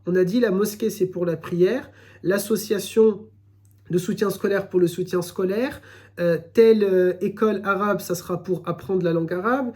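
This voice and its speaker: A man giving a recorded religious lesson, explaining in a measured way and often repeating his phrases. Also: high-pitched, darkish tone. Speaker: Islamic teacher.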